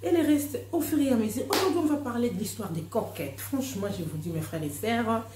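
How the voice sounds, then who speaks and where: A woman's voice: a little high, fairly quick, noisy background. A woman with a Congolese French accent, host of an online news channel, chatting casually to her viewers, dropping in "hein" and slipping into Lingala. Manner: animated, chatty, sprinkles "hein", slips into Lingala. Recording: roomy echo.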